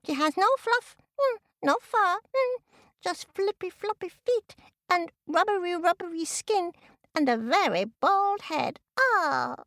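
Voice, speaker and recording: A woman voicing curious little crow chicks in a children's story, squeaky and twittering between short, amazed phrases. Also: expressive, takes it slowly, a bit bright, no room sound, studio quality, heavily acted.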